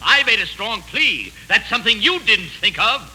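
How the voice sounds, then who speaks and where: A man playing a boastful opposing lawyer in a 1940s radio comedy, with a rough, forceful voice. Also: high voice, very animated, normal speed, heavily acted, poor audio. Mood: smug.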